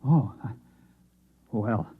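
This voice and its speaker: An actor playing a meek, bewildered man with a halting, earnest manner in a 1950s radio drama. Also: mid-range voice, husky, phone-quality sound, heavily acted. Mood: flustered. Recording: phone-thin old radio broadcast.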